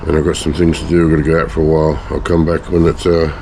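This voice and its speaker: A man with a hoarse, failing voice from a bad cough, casually talking viewers through his home refining work in a chatty, rambling way. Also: rumbling low, little pitch movement, fast, dark tone, slight background noise. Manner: chatty, rambling, self-mocking asides. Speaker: hobbyist gold refiner and video maker. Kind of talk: casual talk-through of a lab process.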